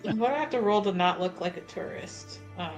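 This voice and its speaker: A woman's voice: medium pitch, lively intonation, fairly quick, loud music behind the voice. A woman chatting casually and playfully as a player in a tabletop roleplaying game.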